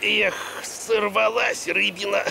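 A man voicing a grumbling adult male raccoon fisherman in a children's puppet show, gravelly and drawn-out. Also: sits high, fairly even intonation, full character voice, poor audio. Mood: disappointed. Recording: loud music behind the voice.

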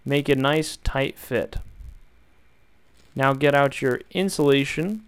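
A young man calmly walking viewers through a build, step by step, in an instructional voice-over. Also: medium pitch, clear, resonant tone. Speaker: DIY tutorial maker.

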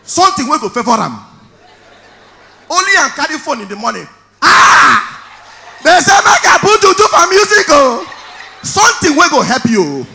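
A man in his thirties with a Nigerian accent, performing stand-up on stage with a handheld mic, telling stories loudly and shouting his punchlines. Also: very high voice, sing-song, moderate pace, vocal fry, long pauses, a faint crowd. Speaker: stand-up comedian. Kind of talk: stand-up comedy in Pidgin. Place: large indoor event hall on stage.

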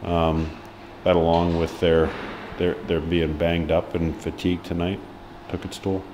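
Deep-voiced man, halting hockey coach. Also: level tone, background noise. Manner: halting, frequent ums and restarts, frank. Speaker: ice hockey head coach. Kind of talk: post-match press interview. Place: indoor press room before a sponsor board.

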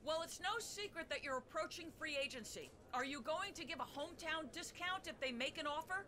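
A woman's voice: high voice, lively intonation, fairly quick, bright, forceful tone, a little hiss or hum. A woman playing an inquisitive sports reporter in a video game, asking scripted press conference questions.

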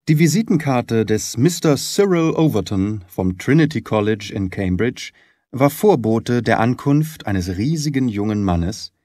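Male narrator for literary audiobook, mid-pitched, recorded dry in a studio. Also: a little mellow, no room sound.

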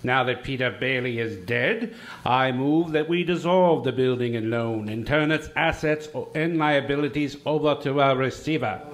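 An actor playing a greedy, scornful old banker in an amateur radio play, talking in a cold, contemptuous, domineering way. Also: male voice, mid-range voice, moderate pace, close to an everyday voice.

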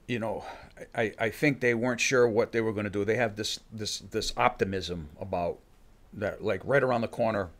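A man's voice: on the low side, fairly even intonation, fairly bright tone. An older man, a fight coach, talking in a podcast studio and often restarting his sentences. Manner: halting, many restarts, acts out fighters' thoughts. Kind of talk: casual interview talk. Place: studio room with bookshelves. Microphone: studio mic on a boom arm.

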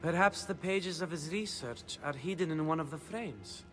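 Man acting flippant young gambler, high voice, theatrical, cheeky, game cutscene, music behind. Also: normal speed, a bit bright, natural voice. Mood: subdued. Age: young adult. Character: cocky, flippant young Renaissance gambler and painter's assistant.

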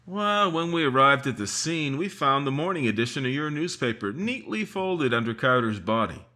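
Radio mystery: a man voicing a self-assured sheriff who explains the case step by step. His voice is bright, with a lively, rising and falling pitch. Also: normal speed. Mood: confident. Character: confident male sheriff, triumphant. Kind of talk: radio drama acting.

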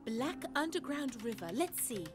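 A woman's voice: high voice, lively intonation, moderate pace, music in the background. A woman voicing a brainy, prim, bossy young adventurer in a cartoon, with precise, formal wording. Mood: studious.

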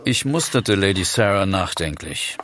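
An actor playing a calm, pensive detective who narrates and talks in an audio drama. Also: male voice, sits low, normal speed, slight background noise. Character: calm, thoughtful male ghost-hunting detective narrator.

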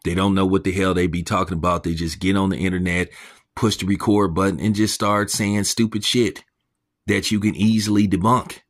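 Man, deep voice, blunt, crude, mocking monologue, voice leaps up in disbelief. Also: steady tone, fairly quick. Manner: pitch jumps up when incredulous. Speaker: commentary channel host. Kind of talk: ranting commentary monologue.

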